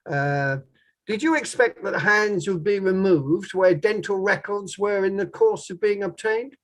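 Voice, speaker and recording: A man playing a formal, probing barrister who questions in a measured way, in a table read of a courtroom play. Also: high-pitched. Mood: pressing, formal. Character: probing male inquiry barrister, formal and methodical.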